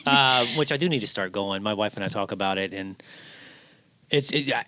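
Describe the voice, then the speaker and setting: A man's voice: lowish voice, normal speed, bright, forceful tone, dry recording. A man of about fifty hosting a casual, conversational interview. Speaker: interview host.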